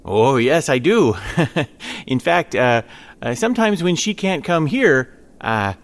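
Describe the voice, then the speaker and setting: A man's voice: fairly high pitch, big pitch swings, slow, exaggerated voice. A man acting as a nervous, evasive ice fisherman in a comic audio drama, stammering and hesitating. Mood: nervously evasive. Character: flustered, evasive male ice fisherman hiding his companion.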